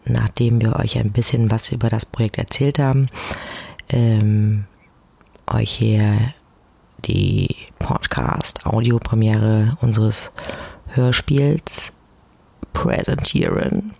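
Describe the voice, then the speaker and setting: Husky, low-key woman hosting a podcast. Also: slow, warm tone, no room sound. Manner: self-deprecating, informal, chatty. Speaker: podcast host. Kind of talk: casual solo podcast talk. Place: at home, alone. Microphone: close personal microphone.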